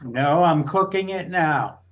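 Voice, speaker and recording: A man's voice: fairly high pitch, lively intonation, slow, fairly bright tone. Tired terse man on a dull line. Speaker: call guest. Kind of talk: casual chat. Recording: dull lo-fi call audio.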